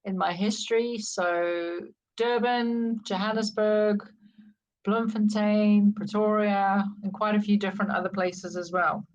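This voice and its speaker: A woman's voice: mid-range voice, level tone, a little slow. Middle aged south african lady telling her life story on a podcast, reflective, bit dull lo-fi sound. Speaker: podcast guest. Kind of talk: personal life-story interview.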